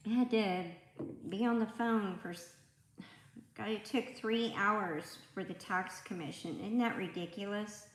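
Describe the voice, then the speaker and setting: Slow, soft-voiced woman with a raspy edge. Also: mid-range voice, slight background noise. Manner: chatty and rambling, greeting viewers by name. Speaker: livestream host. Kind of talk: casual livestream chat with viewers. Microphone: tablet microphone. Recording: echoey room.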